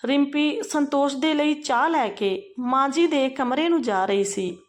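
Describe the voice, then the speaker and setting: A woman's voice: high voice, measured pace. A woman narrating an emotional family story from a script.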